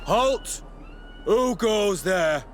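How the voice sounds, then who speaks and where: A man acting an officious, suspicious camp gate guard with a gravelly voice in a video game. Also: high-pitched, expressive, drawn-out words, slight background noise, full character voice. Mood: challenging. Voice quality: gravelly, creaky.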